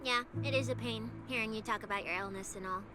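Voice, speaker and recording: A woman playing a playful, food-loving young police specialist in an animated game cutscene, with an expressive, mock-official manner. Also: fairly high pitch, fast, close to an everyday voice. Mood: worried, earnest. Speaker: video game voice actor. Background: background music.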